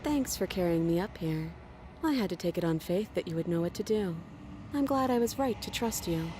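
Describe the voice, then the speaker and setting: An actress playing a calm, softly grateful young princess in a visual novel, with a composed, understated manner. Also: female voice, fairly low pitch, fairly quick, slight background noise, natural voice.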